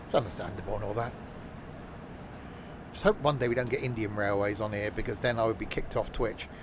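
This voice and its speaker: A man's voice: on the low side, steady tone, brisk, background noise. A man streaming a train game, chatting casually and joking with live viewers, rambling with frequent self-corrections. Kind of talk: casual gameplay commentary.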